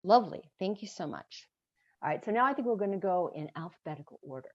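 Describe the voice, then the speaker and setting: A woman moderating a panel on a video call, brisk and polite. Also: mid-range voice, animated, lo-fi sound.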